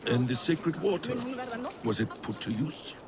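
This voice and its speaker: An actor playing a shrewd, observant Norse god in a video game, with an appraising, probing manner. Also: male voice, mid-range voice, sing-song, unhurried, crowd noise. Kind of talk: cinematic video game acting.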